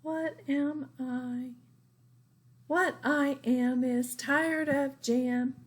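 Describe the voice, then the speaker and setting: A woman's voice: high voice, steady tone, extremely slow, pitched above the performer's own voice. A woman voicing an earnest, childlike young girl character in a storybook. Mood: sad singing.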